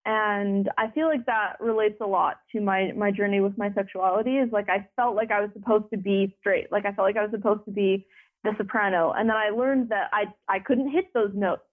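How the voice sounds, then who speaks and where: A woman's voice: steady tone, fairly quick. A young woman speaking as an interview guest, rambling with many filler words and restarts. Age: young adult. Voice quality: deeper voice. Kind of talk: conversational interview. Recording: phone line.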